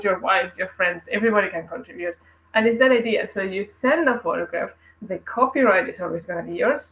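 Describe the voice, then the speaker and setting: Spanish-accented woman, chatty and halting. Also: medium pitch, a bit bright, poor audio. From Spain. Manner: chatty, many restarts and fillers. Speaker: guest, learning developer. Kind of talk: casual interview chat.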